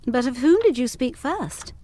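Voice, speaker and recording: A woman playing an earnest young governess in a gothic period audio drama, speaking in formal, measured diction. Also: high voice, lively intonation, dark tone, slight background noise. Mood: probing. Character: young pretty governess, earnest, questioning, protective.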